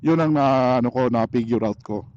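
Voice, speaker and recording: A man with a Filipino accent chatting casually in a motorcycle vlog, halting as he searches for words. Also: medium pitch, muffled recording. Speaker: motorcycle vlogger. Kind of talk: casual vlog chat while riding. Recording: steady vehicle and road noise.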